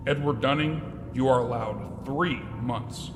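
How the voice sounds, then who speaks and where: A man playing a politely menacing elderly gentleman in a radio drama, with formal, overly courteous speech. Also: medium pitch, unhurried, over music, close to an everyday voice. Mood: ominous. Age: older. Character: kindly-seeming, sinister stout elderly gentleman, politely menacing.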